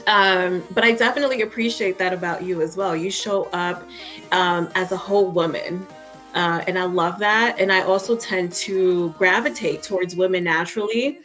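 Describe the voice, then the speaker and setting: A woman's voice: fairly low pitch, normal speed, fairly bright tone, soft background music. A woman from Honduras whose first language is Spanish, co-hosting a casual chat podcast and often affirming and laughing. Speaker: podcast co-host.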